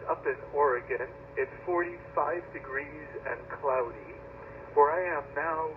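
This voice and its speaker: A man with an American accent speaking casually and matter-of-factly as a ham operator over a radio transmission. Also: a little high. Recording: noisy, narrow-band shortwave radio signal.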